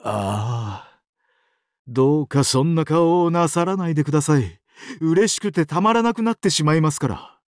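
An actor playing a sadistic, weeping angel of doomsday in a mobile game, exaggeratedly polite and dramatic, swinging between sorrow and glee. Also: male voice, on the high side, expressive, moderate pace, no room sound, studio quality, lightly acted. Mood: tender, tearful. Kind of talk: Japanese mobile game character acting.

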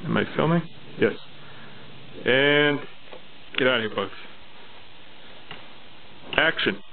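A man's voice: mid-range voice, slow, vocal fry, slight background noise. A man directing a video shoot from behind the camera, coaching the speaker with short instructions and cues. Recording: tinny phone-like camera audio.

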